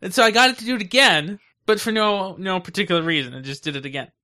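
A man's voice: high-pitched, fast, bright, forceful tone. A man co-hosting a podcast in casual chat, telling things in a rambling, conversational way. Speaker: podcast co-host.

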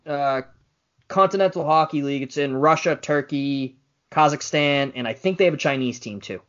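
A man's voice: a little high, moderate pace, dull audio. A man co-hosting a casual sports podcast, chatty and wry as he relays news and teases his co-host.

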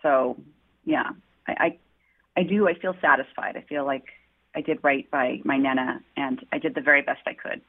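A woman's voice: very deep voice, fairly even intonation, moderate pace. A woman author answering interview questions, telling her story in an earnest, heartfelt way. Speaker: author guest. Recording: tinny phone-line audio.

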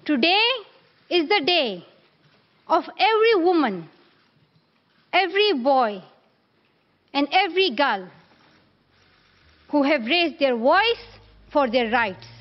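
A woman voicing an earnest, defiant teenage girl activist giving a deliberate, declamatory speech within a storybook narration. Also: high voice, very animated, lo-fi sound, exaggerated voice. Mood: earnest, proud. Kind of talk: rousing public speech inside a narrated story.

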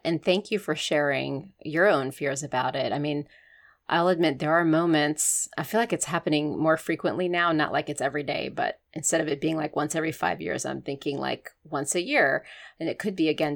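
A woman hosts a conversational interview, candid and chatty, asking long, winding questions. Her voice sits very low, with a gravelly fry running through it. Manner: warm, candid, many fillers like "like". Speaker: interviewer, host.

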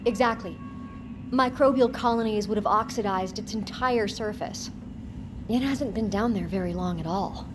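An actress playing an eager, knowledgeable marine scientist in an audio drama, precise and insistent. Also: female voice, mid-range voice, moderate pace, noisy background, lightly acted. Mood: explanatory. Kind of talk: naturalistic audio fiction acting.

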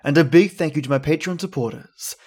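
A man's voice: fairly high pitch, brisk, a little mellow. A man hosting a podcast, reading a scripted introduction in a theatrical presenting manner. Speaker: podcast host.

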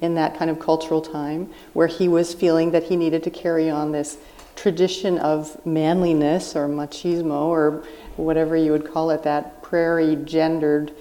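A woman's voice: low-pitched, little pitch movement, normal speed, dark tone. A woman in her fifties from South Dakota, a guest author answering earnestly and reflectively at a live onstage interview. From South Dakota, USA. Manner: earnest, reflective, thinking aloud. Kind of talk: interview on stage. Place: stage at a live book festival event.

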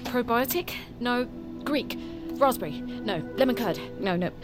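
A woman's voice: on the high side, animated, a little slow, over loud music. A woman playing an anxious, rule-bound young woman in an audio drama, fretful, hesitant and stammering. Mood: queasy, fretful. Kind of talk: naturalistic audio drama acting.

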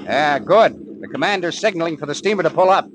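An actor playing a decisive major, clipped, matter-of-fact and commanding, in 1940s radio style. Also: male voice, fairly high pitch, expressive, a little fast, natural voice. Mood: satisfied. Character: decisive, authoritative male Army major undercover. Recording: noisy old 1940s radio recording.

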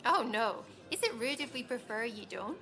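A woman's voice: fairly high pitch, sing-song, normal speed, pushed and bright, faint music behind, exaggerated voice. A woman playing a young scientist and dutiful daughter in a naturalistic audio drama, earnest and a little hesitant. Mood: affectionate, light. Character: young female agronomist, dutiful daughter, lonely survivor.

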